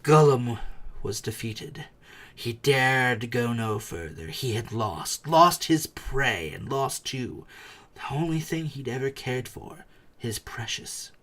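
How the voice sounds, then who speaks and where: A man's voice: mid-range voice, expressive, takes it slowly, fairly bright tone. A man reading a storybook aloud as a dramatic narrator, with theatrical, suspenseful delivery. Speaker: audiobook reader.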